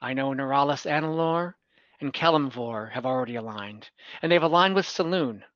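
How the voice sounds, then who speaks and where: A man playing a scheming adventurer who recruits warriors, speaking earnestly and deliberately in tabletop roleplay. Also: medium pitch, fairly bright tone, no room sound, natural voice. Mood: grave, urgent. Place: room at home, on a group video call. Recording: dull online-call audio.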